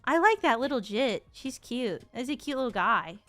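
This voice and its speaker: A woman in her thirties chats casually as she streams a game. Her voice is on the high side, her talk playful, slangy and sweary, with faint music behind. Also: big pitch swings, normal speed, a bit bright. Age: 30s. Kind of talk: casual gaming stream commentary.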